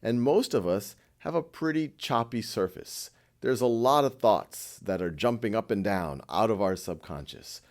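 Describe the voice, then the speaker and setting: Male meditation guide: gentle and reassuring, unhurried delivery with a lively, moving pitch.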